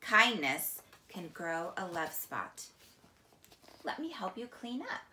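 A middle-aged woman reading a children's picture book aloud in a deliberate, expressive teacher's manner. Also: lowish voice, a little hiss or hum. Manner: slow, deliberate, expressive storytelling. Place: small indoor room, seated on couch. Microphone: camera a few feet away. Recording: echoey room.

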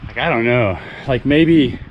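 A man's voice: mid-range voice, unhurried, dull audio. A man talking casually to himself in short, exclamatory bursts as he hikes and films outdoors. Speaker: hiking vlogger. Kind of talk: casual off-the-cuff trail narration. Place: outdoors on foot in a rocky wooded canyon. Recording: outdoor recording with clear handling and nature noise.

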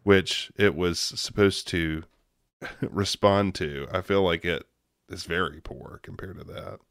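A man's voice: low voice, steady tone, slow, gravelly, fairly bright tone, no echo, studio quality. A man hosting a film podcast, steering the casual talk and calling on each panelist in turn. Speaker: podcast host. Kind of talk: casual film review chat.

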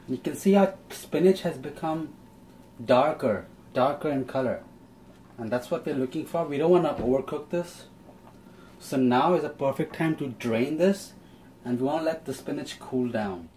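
A man's voice: medium pitch, darkish tone, slight background noise. A young man giving a casual, step-by-step cooking demonstration as host in a small home kitchen. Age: young adult. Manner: explains step by step.

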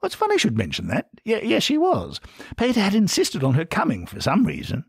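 A man's voice: sits high, huge pitch swings, a little fast, gravelly, husky, no echo, clean studio sound, pitched above the performer's own voice, full character voice. A man voicing a polite, slightly hesitant big brother with an upper-class British accent in a storybook. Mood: reminiscing. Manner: polite, hesitant, old-fashioned diction.

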